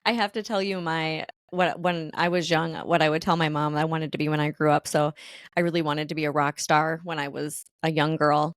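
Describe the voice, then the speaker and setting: A woman's voice: sits low, flat delivery, fairly quick, no echo, clean studio sound. A woman from the Midwest hosting a casual podcast, laughing often as she talks. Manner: giggly, laughs often between lines. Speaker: podcast host.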